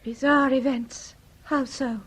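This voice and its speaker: An actress playing a refined, fragile, melancholy Victorian lady in a British radio drama, with a breathy voice. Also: sits high, steady tone, slow pace, a little hiss or hum. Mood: wan, polite. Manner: formal, mannered.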